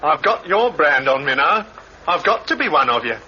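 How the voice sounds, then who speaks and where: An actor playing a nervous young thief in an old radio crime drama, jumpy and pleading. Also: male voice, a little high, big pitch swings, moderate pace, bright, forceful tone, slight background noise, exaggerated voice. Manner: jittery, pleading. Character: nervous young male thief turned killer. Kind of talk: 1950s radio drama acting. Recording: dull lo-fi old radio recording.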